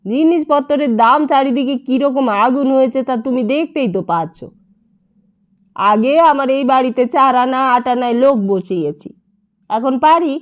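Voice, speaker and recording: A woman's voice: high-pitched, moderate pace, highs cut off, natural voice. A woman voicing a shrewd, grasping older woman who haggles in a wheedling, complaining, familiar way. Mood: haggling.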